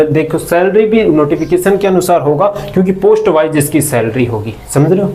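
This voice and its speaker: A man's voice: high-pitched, a little mellow. A man giving an informal explainer lesson, conversational, often posing questions and answering them himself with frequent check-in tags. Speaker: presenter of a job-recruitment explainer channel.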